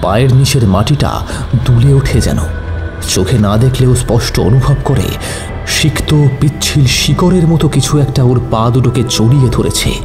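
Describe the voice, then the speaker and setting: Male audio-story narrator, medium pitch, dramatic and suspenseful, music bed behind. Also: normal speed.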